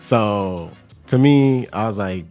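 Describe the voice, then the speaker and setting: A man's voice: fairly low pitch, animated, slow pace, a little mellow, faint music behind. A young man guesting on a radio show, talking casually about his clothing brand with lots of fillers and restarts. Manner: laid-back, rambling with many fillers. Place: radio studio.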